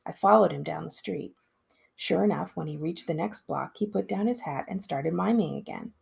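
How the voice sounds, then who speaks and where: A woman, a school librarian, giving an expressive read-aloud of a storybook in a narrator's style. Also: lowish voice, moderate pace, creaky, husky.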